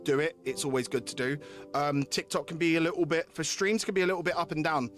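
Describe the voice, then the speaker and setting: A man's voice: fairly high pitch, fairly even intonation, quick pace, a bit bright, faint music behind. A man live-streaming a game, chatting casually with viewers, repeating himself and reading story text aloud. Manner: repeats phrases while addressing chatters.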